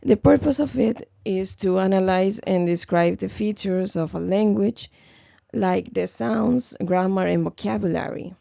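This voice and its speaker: Sick young woman, gravelly and slow. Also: medium pitch, dark tone, no room sound, lo-fi sound. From Ecuador. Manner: hesitant, many fillers and restarts. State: sick, slightly hoarse. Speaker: student presenter. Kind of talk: class presentation, read from notes.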